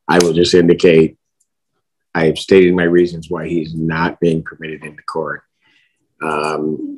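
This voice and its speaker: A middle-aged man with a husky voice, speaking as a judge giving formal rulings in a measured, authoritative manner. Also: low-pitched, poor audio. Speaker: judge presiding over a hearing. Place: courtroom bench, seen on video call.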